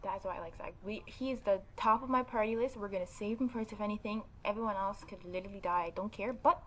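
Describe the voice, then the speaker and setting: A woman's voice: medium pitch, fast talker, a little hiss or hum, low-quality recording. A woman hosting a casual let's play, giving informal, opinionated commentary. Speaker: gaming video host.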